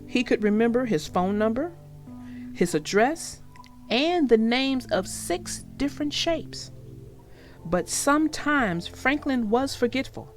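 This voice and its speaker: A woman narrating a read-aloud story for young children with deliberate, emphatic phrasing. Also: a little high.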